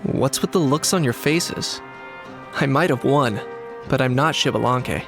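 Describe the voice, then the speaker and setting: A man's voice: medium pitch, a little slow, over music, close to an everyday voice. A man playing a confident, friendly young champion athlete in a video game, slightly cocky but easygoing. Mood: amused.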